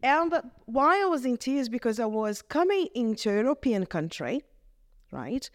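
A middle-aged woman with a Brazilian Portuguese accent, speaking in English as a vicar. She gives an earnest, halting testimony and often restarts her phrases. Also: on the high side, very animated, no room sound. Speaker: vicar, guest. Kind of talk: reflective testimony in conversation.

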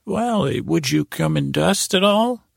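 A man's voice: a little high, expressive, vocal fry, no echo, studio quality, voice pushed up, heavily acted. A man voicing a chatty elderly lady, kindly but firm, in a hesitant, folksy way for a comic bedtime story. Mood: fussy, curious. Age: older. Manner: halting, folksy, addresses listener as young man.

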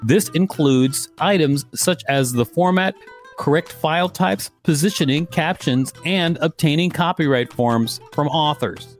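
A man's voice: fairly high pitch, measured pace, soft background music. A man co-hosting a podcast, reading an article aloud with dry, joking asides. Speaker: podcast co-host. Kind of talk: reading an encyclopedia article aloud with casual banter.

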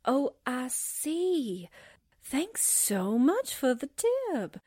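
Woman acting as wisecracking secretary, high voice, drawn-out delivery, scatterbrained, playful sarcasm, old radio comedy. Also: sing-song, warm tone, slightly rough, no echo, studio quality, heavily acted. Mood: sassy, teasing.

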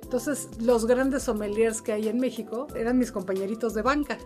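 A woman's voice: on the high side, moderate pace, warm tone, faint music behind. A middle-aged woman with a Mexican Spanish accent tells stories casually as an interview guest, in a chatty, humorous, colloquial way. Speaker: interview guest, wine importer and sommelier. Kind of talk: casual conversational storytelling.

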